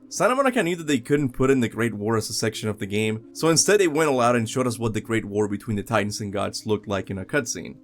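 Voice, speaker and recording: Lively male video essay narrator. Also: mid-range voice. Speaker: video game countdown host. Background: music.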